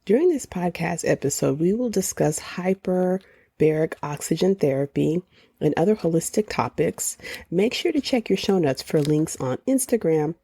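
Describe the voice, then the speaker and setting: A woman hosting a podcast, reading a slow, deliberate introduction before her interview. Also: fairly low pitch, lively intonation, warm tone, husky, no room sound. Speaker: podcast host. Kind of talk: scripted intro, then interview questions.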